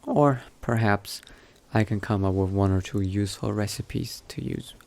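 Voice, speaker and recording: A man playing a newly turned vampire mage in a game roleplay, musing to himself in a halting, politely understated way. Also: lowish voice, gravelly, a little mellow. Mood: thoughtful. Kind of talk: in-character video game roleplay narration.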